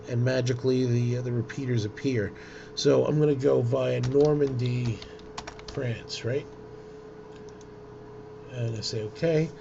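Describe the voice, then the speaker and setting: Halting man, gravelly edge, muffled noisy recording. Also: medium pitch. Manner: hesitant, halting delivery with frequent ums. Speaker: software tutorial presenter. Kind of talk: informal screen-share software demonstration. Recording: dull lo-fi audio with loud noise.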